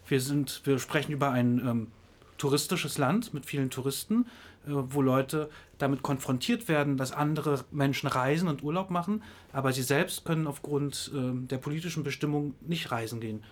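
A man giving an earnest, reflective commentary in a radio discussion and explaining his point at length. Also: mid-range voice.

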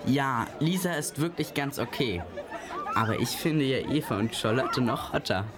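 A woman's voice: rumbling low, expressive, moderate pace, over a noisy crowd, voice pushed down. A young woman voicing a sixteen-year-old schoolboy in a school radio play, with casual, drawling teen chat. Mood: laid-back. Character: teenage schoolboy, sixteen, easygoing and boastful.

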